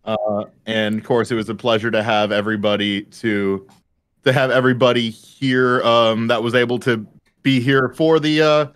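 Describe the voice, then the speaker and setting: A male panel host with a clear, smooth voice at a middling pitch. He speaks informally and haltingly, circling back to repeat phrases as he goes. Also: steady tone. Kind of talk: casual panel hosting.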